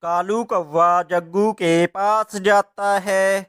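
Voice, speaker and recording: A man's voice: sits high, fairly even intonation, extremely slow, fairly bright tone, clear, resonant tone. A man narrating a scripted children's moral story as its storyteller.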